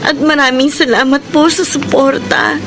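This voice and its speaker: A woman playing a high-voiced young wife. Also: flat delivery, moderate pace, over music, close to an everyday voice. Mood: respectful. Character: young wife and mother, devoted and hopeful. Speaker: radio drama actor. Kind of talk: 1970s-style radio drama acting.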